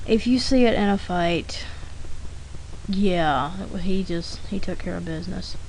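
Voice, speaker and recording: A woman casually telling stories as she narrates an art demonstration, unscripted and rambling, with halting self-corrections. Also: lowish voice, darkish tone. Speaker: artist narrating a drawing demonstration. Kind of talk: casual unscripted storytelling over an art demo. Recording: clearly noisy homemade recording.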